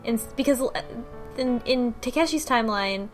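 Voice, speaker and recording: A woman's voice: fairly high pitch, normal speed, faint music behind. A woman podcast host casually recapping a story in a loose, conversational way, as if thinking aloud. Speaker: book club podcast host.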